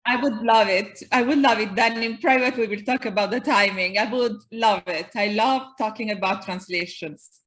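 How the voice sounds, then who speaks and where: A woman's voice: mid-range voice, moderate pace, a bit bright. A woman whose first language is Italian, a guest poet talking about translating her own work. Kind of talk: reading her poems and discussing translation.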